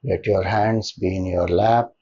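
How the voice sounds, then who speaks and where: A man with an Indian accent leading a guided meditation as a spiritual teacher, speaking calmly and gently with deliberate pauses. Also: sits low, husky, highs cut off.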